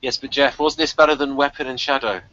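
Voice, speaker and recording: A man hosting a review show in casual chat, excitable and prone to chuckling as he talks. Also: mid-range voice, fast, a crowd in the background. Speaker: podcast host of a TV review show. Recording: dull, lo-fi, dry recording.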